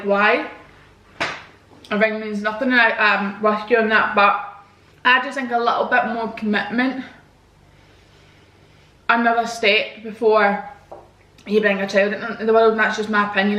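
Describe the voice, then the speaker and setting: A woman's voice: mid-range voice, fairly bright tone. Chatty young woman, echoey room. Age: 20s. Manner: chatty, frank, slangy. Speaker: vlogger. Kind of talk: casual chat answering viewer questions. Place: home, indoors. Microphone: camera mic.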